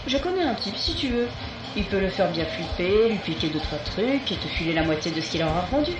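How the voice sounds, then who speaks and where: A woman's voice: mid-range voice, sing-song, brisk, close to an everyday voice. An actress playing a shady, scheming woman in a French audio drama, cool and colloquial. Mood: cool, conspiratorial. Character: shady, coolly scheming woman acquaintance from a bar. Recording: echoey, distant voice over music.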